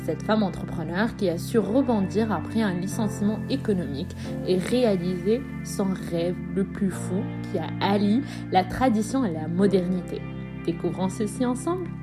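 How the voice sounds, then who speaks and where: A woman's voice: medium pitch, moderate pace, over loud music. A woman hosting a podcast, who reads a scripted welcome and then asks brief questions. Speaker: podcast host. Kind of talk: podcast interview.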